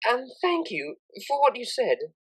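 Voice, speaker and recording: A woman's voice: a little high, very animated, unhurried, breathy edge, voice pushed up, exaggerated voice, low-quality recording. A woman voicing a refined young mare cellist in a story reading, polite and earnest, with formal, precise wording. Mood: polite, grateful.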